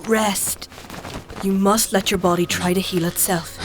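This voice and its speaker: An actress playing a composed, earnest and principled healer in a dark fantasy audio drama. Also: female voice, medium pitch, fairly even intonation, unhurried, natural voice. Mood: calm, gentle.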